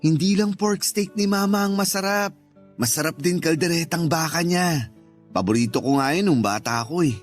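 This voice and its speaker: A man's voice: on the high side, moderate pace, natural voice. Man acting earnest young husband, lightish voice. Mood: cheerful. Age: young adult. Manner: earnest, persuasive. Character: earnest young husband, dutiful son, protective. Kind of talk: Tagalog radio drama acting. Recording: music bed under the voice.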